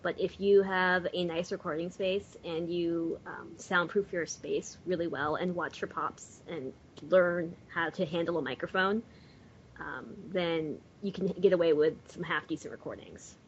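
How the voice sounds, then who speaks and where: Woman just chatting on a podcast, lowish voice, bit of rumble and hiss behind her. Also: fairly even intonation, a little slow. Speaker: podcast panelist. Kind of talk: casual chat. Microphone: USB or desktop mic covered with a sock. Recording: dull lo-fi voice chat with rumble.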